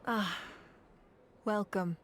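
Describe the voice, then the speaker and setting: A woman's voice: medium pitch, steady tone, very slow, fairly bright tone, slight background noise. A woman voicing an earnest, caring and weary young leader in a video game, heartfelt and hesitant. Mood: weary, regretful.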